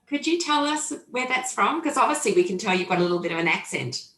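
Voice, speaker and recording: A woman's voice: mid-range voice, lively intonation, quick pace, a bit bright. A woman moderating a seminar Q&A over a video call, enthusiastic and chatty. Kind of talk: moderating a panel Q&A. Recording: echoey room on a call.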